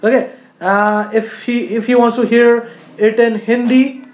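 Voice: medium pitch, little pitch movement, a little slow, reverberant. A teacher narrating a screen-share tutorial in an explanatory way, often saying "you know". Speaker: coding teacher.

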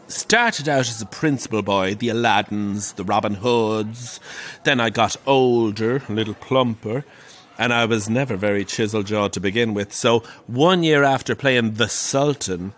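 An actor playing a camp, wry, middle-aged Irish pantomime dame who relishes telling grisly ghost stories in an audio drama. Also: male voice, on the low side, measured pace, fairly bright tone. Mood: wry, breezy. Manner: theatrical, wry, relishing the tale.

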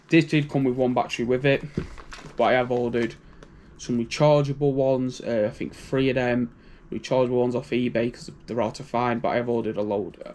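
British guy rambling through his kit, casual, mid pitch, lots of "you know". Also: a little mellow, slight background noise. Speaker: prepping channel host. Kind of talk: casual gear walkthrough.

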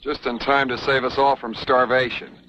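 A man's voice: mid-range voice, level tone, moderate pace, very noisy, close to an everyday voice. An actor playing a proud, stubborn young frontier man in a 1950s television western, blunt and heated. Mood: gruff. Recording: muffled old TV soundtrack with music.

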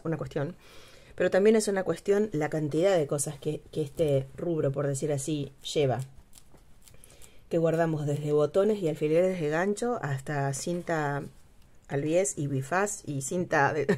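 A middle-aged woman talks through a craft project at her table. Her voice sits low, and she speaks in a relaxed, meandering way. She often stops to hunt for the right word. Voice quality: low, unhurried voice with many pauses and fillers. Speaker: craft channel host. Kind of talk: casual craft tutorial, thinking aloud. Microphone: overhead camera, speaker off screen.

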